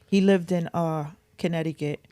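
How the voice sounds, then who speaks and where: A woman's voice: low-pitched, fairly even intonation, moderate pace, warm tone, no echo. A middle-aged woman from the Boston area chatting candidly as an interview guest, often repeating herself for emphasis. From Boston area, Massachusetts. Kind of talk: casual personal reminiscence.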